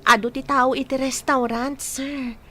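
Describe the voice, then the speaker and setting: Polite, guarded young woman of humble means played by a woman, radio drama, high-pitched. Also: level tone, measured pace, bright, forceful tone, a little hiss or hum. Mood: wary. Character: wary young poor woman, politely questioning a stranger. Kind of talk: Ilocano radio drama acting.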